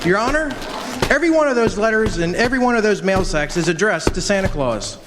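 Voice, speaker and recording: A friendly, assured lawyer, acted by a man in a radio play. He declaims with lively swings of pitch. The live recording is plainly noisy. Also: a little fast. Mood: theatrical, assured. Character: friendly, confident male lawyer. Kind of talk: stage radio drama acting. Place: college campus stage performance in a hall.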